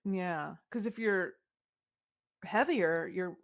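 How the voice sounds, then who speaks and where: A woman co-hosting a podcast in casual chat, animated and full of questions. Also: on the low side, slow. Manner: animated, inquisitive, laughing. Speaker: podcast co-host.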